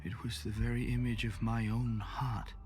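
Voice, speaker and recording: Man acting weary fantasy knight, solemn, breathy, archaic phrasing, beaten and sorrowful, music behind. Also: mid-range voice, flat delivery, lightly acted. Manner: slow archaic, solemn speech. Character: exhausted, grieving dragon-slaying knight, solemn and beaten. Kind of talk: dramatic video game acting. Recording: orchestral game music behind.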